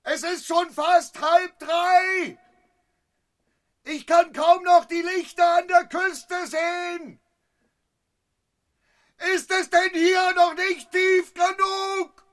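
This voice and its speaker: An actor playing a nervous, reluctant accomplice in a crime radio drama, anxious and unsure of himself. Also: male voice, very high-pitched, flat delivery, very slow, long pauses, a bit bright, exaggerated voice. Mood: alarmed, calling out.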